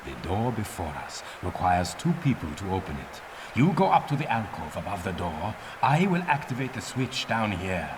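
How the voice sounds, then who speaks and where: An actor playing a cocky older adventurer who mentors a young pupil in a video game, with a theatrical, goading, instructive delivery. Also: male voice, low-pitched, sing-song, normal speed, background noise. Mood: instructing. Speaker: mentor guiding a pupil through training.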